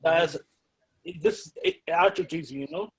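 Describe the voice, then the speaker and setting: A man's voice: fairly high pitch, moderate pace, a crowd in the background. A man co-hosting a casual podcast, talking emphatically and animatedly, often ending with "you know?".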